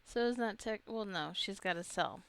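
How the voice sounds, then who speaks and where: A woman's voice: mid-range voice, animated, normal speed, vocal fry, fairly bright tone, clear, resonant tone. A woman giving casual let's play commentary, chatty and rambling as she muses aloud. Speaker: gamer narrating own gameplay.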